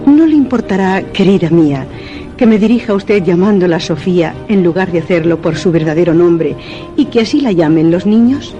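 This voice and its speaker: An actress playing a formal, exacting lady employer in a radio drama, reading a letter in a measured, courteous way. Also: on the low side, warm tone, highs cut off.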